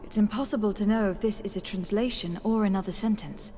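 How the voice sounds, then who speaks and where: A woman's voice: mid-range voice, fairly even intonation, fairly quick, dark tone, a little hiss or hum, lightly acted. An actress playing a composed, diplomatic noblewoman adventurer in a video game, polite and articulate. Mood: curious, studious. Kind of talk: dramatic video game acting.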